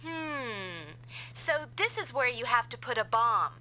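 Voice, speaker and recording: Casting: girlish, slightly clumsy anime-style game sidekick, lively, high-pitched, over noisy old console audio. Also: unhurried, full character voice. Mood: attentive. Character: sweet, girlish, slightly clumsy young female co-pilot. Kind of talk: dubbed anime-style video game acting.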